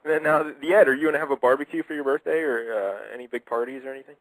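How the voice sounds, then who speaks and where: A man's voice: medium pitch, quick pace, no echo, low-quality recording. A man in his thirties hosting a radio show, prompting a caller with questions in an amused way. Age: 30s. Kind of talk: casual call-in interview.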